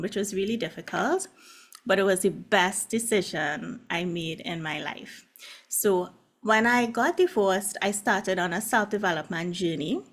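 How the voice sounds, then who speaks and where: A woman in her forties speaks with a Trinidadian accent, earnest and open as she tells her own story. She talks over a video call at an everyday middle pitch. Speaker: guest love coach and hypnotherapist. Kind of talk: casual video-call presentation.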